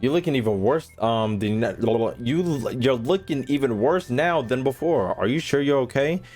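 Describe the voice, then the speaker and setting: A man's voice: medium pitch, expressive, normal speed, darkish tone, soft background music. A man streaming a video game, chatting loosely and joking with crude slang as he reacts. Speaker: gaming streamer. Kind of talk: casual let's-play commentary.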